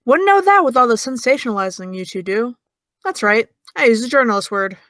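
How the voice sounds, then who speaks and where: A woman's voice: fairly high pitch, expressive, brisk, fairly bright tone, no echo, studio quality. A young actress playing a sarcastic young woman who teases and needles in an audio drama. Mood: needling. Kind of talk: audio drama dialogue of a video call.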